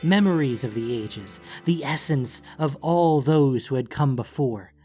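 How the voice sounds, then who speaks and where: A man narrating a fantasy audiobook in a solemn, dramatic, deliberate manner. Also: medium pitch, animated, warm tone. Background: music.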